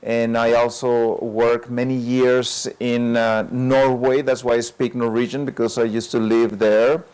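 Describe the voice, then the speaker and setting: Male doctor guest, mid pitch, easygoing storyteller, laughs often. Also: unhurried, hardly any pauses, clear, resonant tone, poor audio. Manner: relaxed, chuckles often, many fillers like "you know". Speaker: lifestyle medicine doctor.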